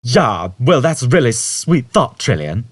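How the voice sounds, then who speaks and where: A man's voice: mid-range voice, big pitch swings, a little slow, breathy edge, no echo, voice pushed up. A man voicing a conceited, irritable male space fugitive in an audiobook, with a complaining, emphatic manner.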